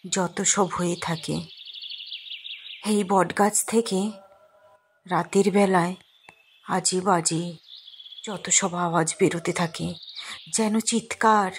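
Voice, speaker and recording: A woman narrating a scripted cartoon ghost story in a measured, suspenseful storytelling manner. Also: medium pitch, slight background noise.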